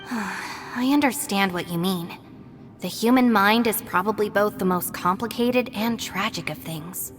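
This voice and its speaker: A woman plays a level-headed young deputy in a video game, dry and matter-of-fact. Her mid-pitched voice carries a long reverb, with music clearly behind it. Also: unhurried, natural voice. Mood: somber. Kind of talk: English video game voice acting.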